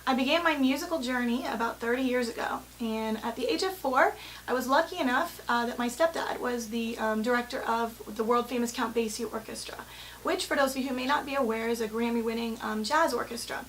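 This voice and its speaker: A woman's voice: on the high side, fairly quick, fairly bright tone, slight background noise, rough recording. A woman in her thirties, a music teacher and business owner, giving an earnest, articulate spoken profile of herself. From born in London, grew up in the Bahamas and England. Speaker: music teacher and company president. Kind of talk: spoken personal profile to camera.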